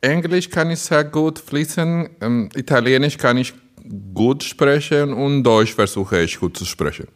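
A man from the Dominican Republic whose first language is Spanish, speaking with a Spanish accent as a guest on a podcast, earnest and slow, often searching for words. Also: medium pitch. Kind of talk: podcast interview.

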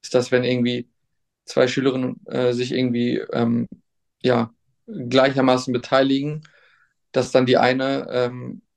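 A young man, a substitute teacher, answering interview questions in a hesitant, thoughtful way with many pauses and restarts. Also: mid-range voice, completely flat delivery, vocal fry.

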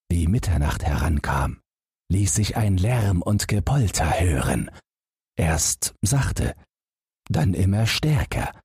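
A man narrating a fairy tale as an audiobook, measured and dramatic in his expressive storytelling. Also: low-pitched, slightly rough, no room sound, studio quality.

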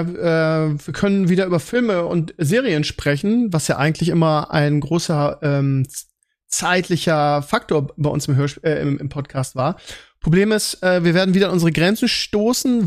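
A man hosting a podcast in casual chat, often repeating words as he forms his thoughts. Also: high voice. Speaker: podcast host. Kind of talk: casual podcast chat about series and films.

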